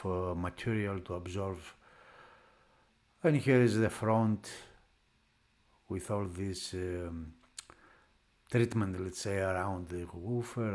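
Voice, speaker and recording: A man who is not a native English speaker, narrating a product unboxing off the cuff in halting phrases. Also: low voice. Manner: halting, piecing phrases together. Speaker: product reviewer.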